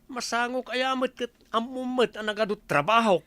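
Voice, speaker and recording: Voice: medium pitch, normal speed, fairly bright tone, no echo, natural voice. An actor playing a nagging, teasing village husband in a comedy radio drama, with an Ilocano accent. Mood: mocking. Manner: teasing, scolding.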